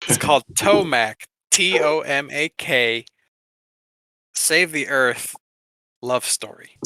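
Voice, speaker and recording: A man's voice: mid-range voice, lively intonation, takes it slowly, sharp tone, slight background noise. A man co-hosting a podcast, presenting a countdown list in casual chat with an informal, hesitant delivery. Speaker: podcast co-host.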